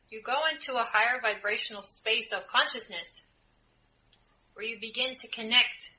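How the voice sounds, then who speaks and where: A woman leading a guided group meditation as a spiritual channeler, speaking in measured, deliberate phrases with frequent pauses. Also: mid-range voice, sharp tone, poor audio. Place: indoor room, live group setting. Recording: live group room, clear background noise.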